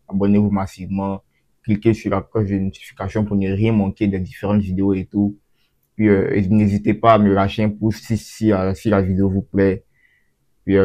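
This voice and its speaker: A man's voice: lowish voice, a little mellow, dull audio. A man giving a casual, off-the-cuff tutorial, often repeating and restarting his phrases as he explains. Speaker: tutorial host.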